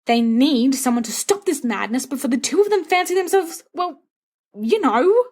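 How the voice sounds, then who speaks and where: A woman's voice: high-pitched, animated, normal speed, darkish tone, voice pushed up. A woman voicing a sensible teenage schoolboy, earnest and exasperated as he reasons, in a storybook reading. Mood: exasperated, firm.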